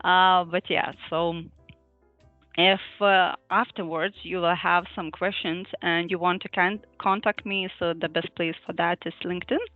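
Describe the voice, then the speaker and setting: A woman's voice: lowish voice, no room sound. A woman from Lithuania giving an online user group presentation on a video call, hesitant with frequent fillers and small laughs. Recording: thin phone-like dry audio, faint music.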